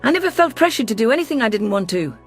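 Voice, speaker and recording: A woman's voice: a little high, very animated, very fast, faint music behind. Woman playing a spirited alien ambassador, earnest. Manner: earnest, assertive. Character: spirited, defiant female alien ambassador, fiercely protective of humans. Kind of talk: science fiction audio drama, first-person narration and dialogue.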